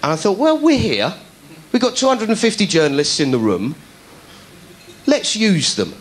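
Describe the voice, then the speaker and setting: A middle-aged comedian telling a story to a studio audience, animated and putting on voices for the people he quotes. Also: high-pitched, normal speed. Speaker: comedian host.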